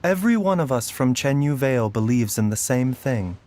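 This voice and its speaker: An actor playing a calm, secretive doctor in a video game, speaking in a measured, reassuring way. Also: male voice, mid-range voice, very noisy, natural voice. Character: calm, secretive male doctor, gentle and composed. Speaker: game character.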